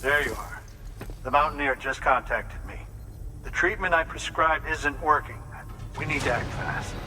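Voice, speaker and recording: Serious doctor, very bright voice. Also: male voice, a little high, soft background music. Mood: grave, urgent. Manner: formal, measured, explanatory. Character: serious, precise male doctor briefing a courier. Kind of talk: scripted game dialogue.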